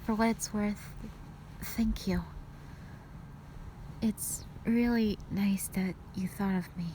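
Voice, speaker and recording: A woman's voice: mid-range voice, steady tone, slow, warm tone, slight background noise, close to an everyday voice, rough recording. A woman playing a fragile, remorseful young hospital patient in an audio roleplay, speaking hesitantly and apologetically. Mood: subdued, remorseful. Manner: hesitant, apologetic, sighing between short phrases. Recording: piano music behind the voice.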